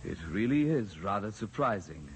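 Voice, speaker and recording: An actor playing a formal, haughty alien overseer who flusters easily, in precise, theatrical 1950s radio drama style. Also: male voice, fairly low pitch, very animated, normal speed. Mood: coolly formal. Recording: noisy old radio drama recording.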